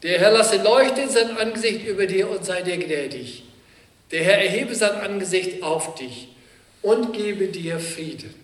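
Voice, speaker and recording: A male pastor with a cold, giving relaxed, joking closing announcements and then the blessing in church. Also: high voice, measured pace. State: has a cold. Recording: reverberant church hall.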